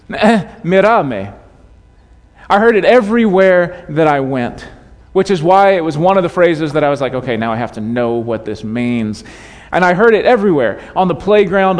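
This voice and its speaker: A man preaching a sermon to his congregation in a conversational way with light humor. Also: on the high side. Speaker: pastor. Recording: long hall reverb.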